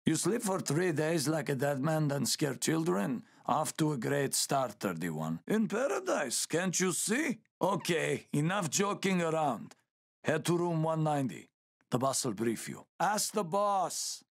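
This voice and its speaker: A man's voice: on the high side, sing-song, unhurried, creaky, exaggerated voice. An actor playing a sardonic, bantering male survivor in a video game. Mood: mocking, brisk. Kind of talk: video game character dialogue.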